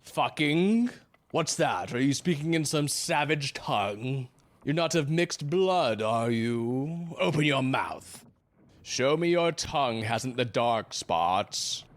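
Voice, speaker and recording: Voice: medium pitch, expressive, takes it slowly. An actor playing a menacing, pompous first mate in an audio drama, speaking in antiquated, intimidating language. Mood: suspicious, hostile. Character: menacing, archaic-talking first mate, fanatical method actor. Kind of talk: theatrical audio drama acting.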